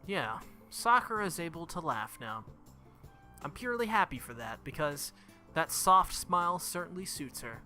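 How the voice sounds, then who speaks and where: A man with a sharp, very bright voice reads a visual novel aloud for a playthrough. His pitch sits a little high for a man. He mixes narration with casual commentary, and music plays behind him. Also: very animated, normal speed. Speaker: gaming video host. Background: game music.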